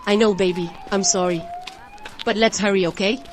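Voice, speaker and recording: A woman playing a gentle, reassuring, protective young mother in an audio horror drama. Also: mid-range voice, steady tone, normal speed, a little hiss or hum, natural voice. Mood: tense, protective. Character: tender, protective young mother, anxious but composed.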